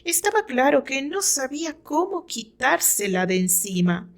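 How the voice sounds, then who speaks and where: High-voiced Mexican woman narrating fiction. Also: very animated, normal speed. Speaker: author reading her own fiction. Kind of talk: dramatic scripted narration.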